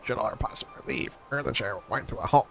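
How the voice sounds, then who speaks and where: A man's voice: medium pitch, fairly quick, vocal fry, fairly bright tone, faint music behind. A man voicing a folksy little goblin-like villager from a video game, with garbled, oddly phrased speech full of doubled words. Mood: earnest, businesslike. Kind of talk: reading game dialogue aloud in character.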